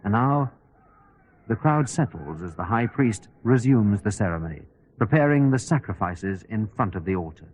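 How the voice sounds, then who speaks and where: An actor playing an observant radio reporter giving a dramatized live on-the-spot commentary. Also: male voice, fairly low pitch, animated, normal speed, darkish tone, no room sound, poor audio. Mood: measured, expectant. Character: on-the-spot male radio reporter, hushed commentary turning to panic. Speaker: roving reporter.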